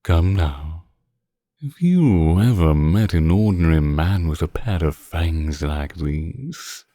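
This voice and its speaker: A man playing a seductive, possessive vampire in an intimate ASMR roleplay, teasing his captive with condescending tenderness in a slow purr. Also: a bass voice, expressive, mellow, no room sound, studio quality, heavily acted. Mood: seductive, assured.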